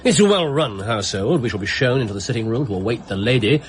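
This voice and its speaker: Man acting a shrewd, animated radio-drama detective. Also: medium pitch, quick pace, a little hiss or hum, lightly acted. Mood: brisk, cheerful. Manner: crisp, theatrical, analytical explaining. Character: confident, shrewd male detective, brisk and coolly amused.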